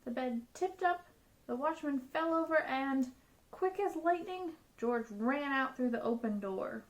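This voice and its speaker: A woman reading a children's picture book aloud as a storyteller, with an expressive, dramatic delivery. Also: high-pitched, a little slow, rough recording.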